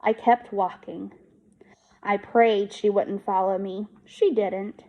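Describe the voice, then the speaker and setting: A woman teacher reading a children's book aloud in an expressive storytelling style. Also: mid-range voice, takes it slowly, lo-fi sound.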